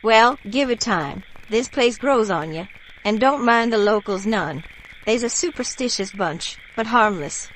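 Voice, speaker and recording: A woman's voice: on the high side, animated, a little slow, fairly bright tone, a little hiss or hum, poor audio. A woman playing a neighborly, folksy local with a Louisiana bayou accent in an audio drama. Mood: friendly, folksy.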